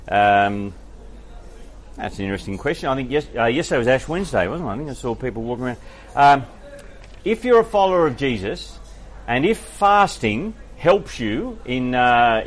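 A man, a pastor, answering faith questions from the congregation in a thoughtful, hesitant way with many fillers. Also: medium pitch. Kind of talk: Q&A sermon.

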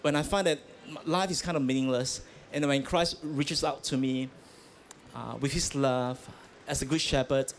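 A man's voice: mid-range voice, fairly even intonation, moderate pace, a little hiss or hum. A man from Malaysia, a pastor, answering interview questions earnestly, with hesitant restarts and fillers, outdoors at a festival. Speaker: pastor, guest.

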